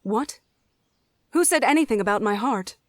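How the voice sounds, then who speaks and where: High-voiced young druid woman, played by an actress, eager and oblivious, fantasy audio drama, dry close sound. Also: animated, moderate pace, dry recording, lightly acted. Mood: defensive.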